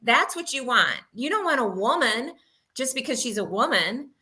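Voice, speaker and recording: A woman in her sixties, a guest in a casual chat, telling stories in a lively way with acted-out quotes. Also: a little high, moderate pace. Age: 60s. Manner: lively, animated storytelling with put-on quotes.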